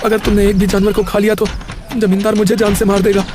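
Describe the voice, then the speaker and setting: A man playing a poor, humble, anxious and tender-hearted shepherd in an animated moral story, with a hesitant, pleading way of talking. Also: high-pitched, fast talker, background noise, lightly acted. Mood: frightened, pleading.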